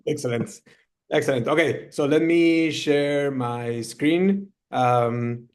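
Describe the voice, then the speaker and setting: A middle-aged man from Argentina gives a relaxed storytelling talk over a video call. He often pauses on fillers as he goes, and his voice sits at an ordinary middle pitch. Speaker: guest speaker, founder. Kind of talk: storytelling presentation.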